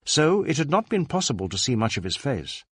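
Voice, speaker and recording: A man reading a story as a scripted audiobook narrator, in an expressive storytelling manner. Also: medium pitch, brisk, slightly rough, dry recording, clean studio sound.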